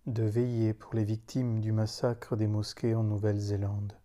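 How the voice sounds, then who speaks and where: A man reciting a solemn poem in a grave, meditative manner, leaving long pauses between short phrases. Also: on the low side, flat delivery, dark tone. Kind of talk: solemn spoken poetry recitation.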